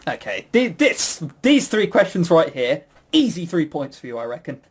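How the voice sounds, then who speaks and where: A man's voice: fairly high pitch, sing-song, normal speed, slightly rough. A man hosting a quiz in a casual, jokey, teasing way. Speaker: quiz host.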